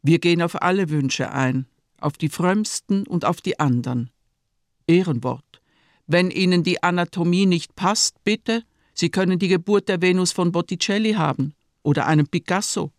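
A man's voice: high-pitched, moderate pace, no room sound, clean studio sound. A man playing a massive, pious, smugly blunt nurse in a German radio drama.

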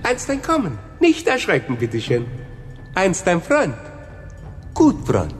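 An actor playing an eager, obsequiously polite giant lab rat who speaks in broken grammar, in a radio drama. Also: male voice, high-pitched, huge pitch swings, measured pace, long reverb tail, faint music behind, heavily acted.

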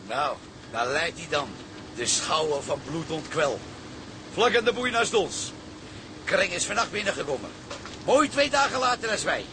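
Lively, sardonic sailor in noise. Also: male voice, on the high side, normal speed, pauses often.

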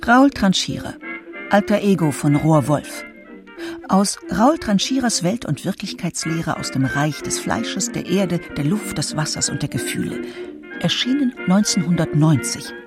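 A woman's voice: on the low side, sing-song, measured pace, music in the background. A woman reading quotations from a script in a radio feature.

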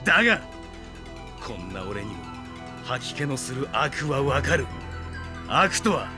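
An actor playing a tough, defiant teenage delinquent hero, gruff and righteous, in a Japanese anime audio drama. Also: male voice, mid-range voice, big pitch swings, measured pace. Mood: grim, righteous. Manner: gruff, rough-spoken, coolly righteous. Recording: dramatic music behind the voice.

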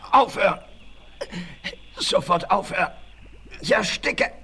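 An actor playing a scheming, bossy leader of a tramp gang, curt and commanding, in a 1960s German western radio play. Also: male voice, high-pitched, lively intonation, slow, creaky, bright, forceful tone, husky, heavily acted. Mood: choking, panicked. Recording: 1968 vinyl record, faint noise.

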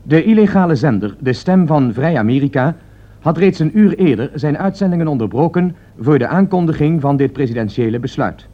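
Grave official newsreader, highish male voice, formal deliberate bulletin, radio play, muffled vintage recording. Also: lively intonation. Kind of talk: news bulletin in a radio drama.

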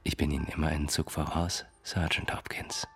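An actor playing a manipulative, menacing psychiatrist in a German radio crime drama, polished, formal and controlled in speech. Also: male voice, extremely low pitch, little pitch movement, a little fast, vocal fry, a bit bright, whispered, heavily acted. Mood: quietly menacing. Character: suave, manipulative, menacing male psychiatrist villain.

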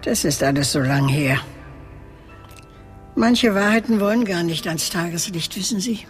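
An actress playing an elderly, gruff and wistful cave woman in a radio drama, speaking in curt, cryptic phrases. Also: on the low side, a little slow, faint music behind, natural voice. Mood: cryptic, guarded. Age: older, elderly. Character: mysterious old cave woman, gruff, wistful, keeps a fox. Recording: long cavernous reverb.

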